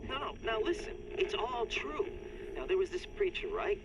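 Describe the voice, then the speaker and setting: A gullible, excitable radio caller in a game, rambling insistently. His high voice leaps wildly in pitch and comes through dull, buried in loud noise. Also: moderate pace, exaggerated voice. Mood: earnest, excited. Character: excitable, credulous male radio caller spreading rumours. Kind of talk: talk radio acting in a game. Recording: dull, distant, very noisy radio sound.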